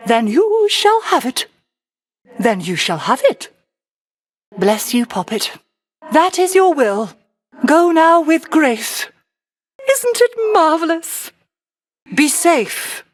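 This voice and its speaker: A woman voicing a whimsical, doting sorceress in a video game, with an unhurried, lilting delivery and old-fashioned genteel phrasing. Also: sits high, sing-song, exaggerated voice. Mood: welcoming, blessing. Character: whimsical sorceress aunt living alone on her isle, doting, dreamy. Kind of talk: theatrical video game character acting.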